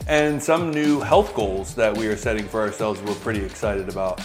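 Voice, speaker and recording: A man's voice: fairly low pitch, moderate pace, faint music behind. A man in his thirties co-hosting a podcast, chatting casually and teasing his partner. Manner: teasing, easygoing. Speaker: podcast co-host. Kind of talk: casual chat.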